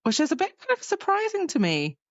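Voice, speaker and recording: A woman's voice: very high voice, wildly swooping pitch, a little fast, dry recording, dull audio. A woman co-hosting a podcast, chatting casually and retelling a story by acting out the dialogue. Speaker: podcast co-host. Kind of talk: casual chat recapping a fantasy novel.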